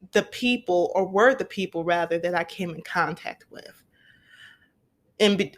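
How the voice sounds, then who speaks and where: A young woman hosting a podcast, telling a personal story in an earnest, conversational way and repeating points for emphasis. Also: on the low side. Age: young adult. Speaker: podcast host.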